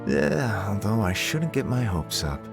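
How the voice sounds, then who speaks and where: A man's voice: lowish voice, sing-song, a little slow. A man playing an arrogant, condescending scholar-official in a video game, speaking in formal, pompous phrases. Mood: haughty. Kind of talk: video game character acting. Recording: game music playing faintly behind.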